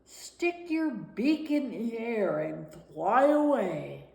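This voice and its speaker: A woman voicing a tired, patient male storybook companion who gives slow, deliberate advice. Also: on the high side, big pitch swings, vocal fry, darkish tone, voice pushed up, exaggerated voice. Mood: weary, coaxing. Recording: roomy, echoing room sound.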